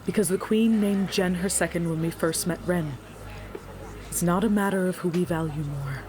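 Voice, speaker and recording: An actress playing a composed, diplomatic young leader in a fantasy audio drama, formal and measured. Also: female voice, lowish voice, natural voice. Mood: firm, apologetic.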